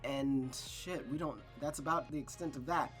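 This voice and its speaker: A man's voice: medium pitch, fairly even intonation, normal speed, fairly bright tone, faint music behind. A man streaming a visual novel, moving between narrated reading and casual, slangy, emphatic talk. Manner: slangy, exclamatory, emphatic repetition. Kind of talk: narrated reading and casual, profane recap chat.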